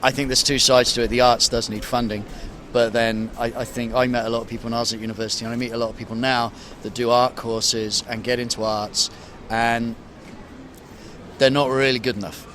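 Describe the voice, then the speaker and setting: A man's voice: mid-range voice, level tone, brisk. A man in his thirties, a monk, answering a street interview in a hesitant way with many ums and restarts. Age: 30s. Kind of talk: street vox pop interview. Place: outdoors on a city street. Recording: outdoor street with loud traffic noise.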